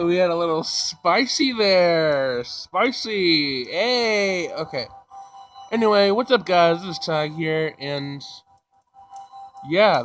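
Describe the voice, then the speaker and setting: A man's voice: on the high side, a bit bright. A man hosting a gaming video, casually reading a visual novel aloud and reacting to it.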